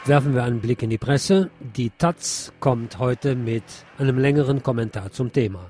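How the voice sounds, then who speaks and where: A man's voice: medium pitch, moderate pace, loud music behind the voice. A man hosting a radio programme, commenting on the news and reading press reports aloud. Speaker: radio host.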